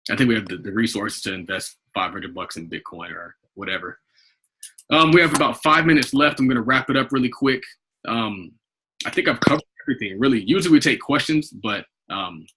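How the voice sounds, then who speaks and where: An American man with a husky voice leading an informal investment club call, talking in a rambling, conversational way. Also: on the low side, brisk, pushed and bright. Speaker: investment club leader hosting a conference call. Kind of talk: informal group call talk, rambling updates. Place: online group video call.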